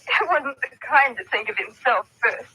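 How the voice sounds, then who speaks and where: Young grieving sweetheart, vintage radio mystery: proud, well-spoken, dramatic, forceful tone. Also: female voice, a little high, lively intonation, moderate pace, breathy edge, full character voice. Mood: defensive. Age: young adult. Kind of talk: old-time radio drama acting. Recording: thin, noisy vintage radio recording.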